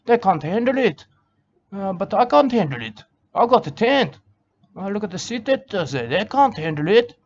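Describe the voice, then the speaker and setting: A man's voice: high voice, sing-song, moderate pace. A man with an Australian accent talking in a rambling comic monologue outdoors, repeating himself in broken grammar. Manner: rambling, repetitive, broken grammar, keeps saying "mate". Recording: dull lo-fi recording with faint ambience.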